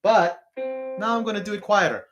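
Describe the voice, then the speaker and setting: A man with a deep speaking voice teaching a singing lesson, who ends nearly every phrase with "okay?" and sings short demonstration notes. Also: lively intonation, normal speed, a bit bright, highs cut off, soft background music.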